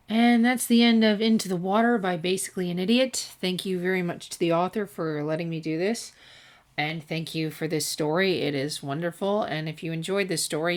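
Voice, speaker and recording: A woman with a slightly low voice hosts a fan fiction reading channel. She speaks as a storyteller and amateur host, recording in an ordinary room at home. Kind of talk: scripted story narration and casual sign-off. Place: room at home without soundproofing.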